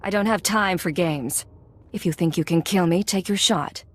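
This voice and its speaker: A woman's voice: lowish voice, moderate pace, natural voice. Woman acting a cool, commanding secret agent. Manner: curt, controlled, authoritative. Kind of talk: video game character acting.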